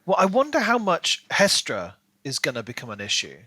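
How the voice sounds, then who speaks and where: A man's voice: a little high, wildly swooping pitch, moderate pace, pushed and bright, breathy edge, no room sound. A man co-hosting a podcast, talking casually through a book and acting out imagined bits of dialogue as he explains. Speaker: podcast co-host.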